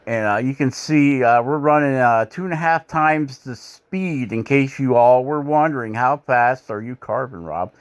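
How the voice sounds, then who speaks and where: A man's voice: medium pitch, normal speed, few pauses, clean tone. A middle-aged man from Michigan, hosting a woodcarving channel, narrating his carving footage in a rambling, self-deprecating, folksy way with lots of "uh" fillers.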